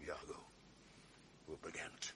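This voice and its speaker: An actor playing a proud, commanding general quick to rage in a radio drama, speaking in a declamatory way. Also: male voice, sharp tone, a little hiss or hum. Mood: wrathful. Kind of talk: 1980s radio drama acting.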